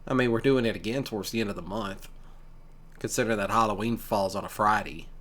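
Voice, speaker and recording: A man's voice: on the low side, fairly bright tone, natural voice. A man playing an easygoing, affectionate country boyfriend in an ASMR roleplay, chatting casually and teasingly with his sweetheart. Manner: casual, teasing, folksy, with pauses. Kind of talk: ASMR boyfriend roleplay, one-sided conversation.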